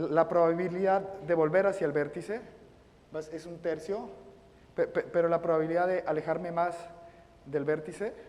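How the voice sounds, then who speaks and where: Halting man lecturing in a reverberant hall. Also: fairly high pitch, a little mellow. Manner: halting, repeats words often. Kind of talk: math lecture at a whiteboard. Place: lecture room.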